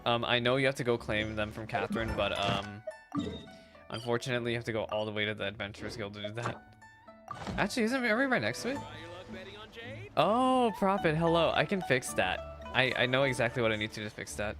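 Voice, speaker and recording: A woman's voice: very deep voice, sing-song, fairly quick. A woman streaming a video game with casual, chatty, informal reaction commentary. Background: game music.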